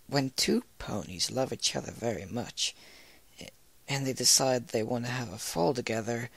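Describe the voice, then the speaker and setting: Uneasy female farm pony for a pony story, played by a man: folksy, hesitant, gravelly edge. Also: mid-range voice, a bit bright, no echo, full character voice. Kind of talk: fan fiction read aloud in character.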